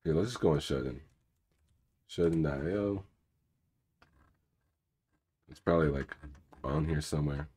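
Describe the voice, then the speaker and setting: A man with an extremely deep, rumbling voice that is rough and husky because he is sick. He talks casually and in a rambling way as he walks viewers through his code, filling pauses with "um" and "like" and muttering as he clicks around. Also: warm tone. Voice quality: low rumbly voice. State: sick, more raspy than usual. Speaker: cybersecurity channel host. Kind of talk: casual live coding walkthrough, reading viewer questions.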